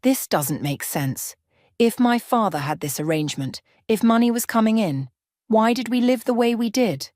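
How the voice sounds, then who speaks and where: A woman's voice: a little high, animated, moderate pace, a little mellow, dry recording, voice pushed up, lightly acted. Narrator as bewildered woman in her thirties, shaken, questioning, emotional, dry sound, story dialogue.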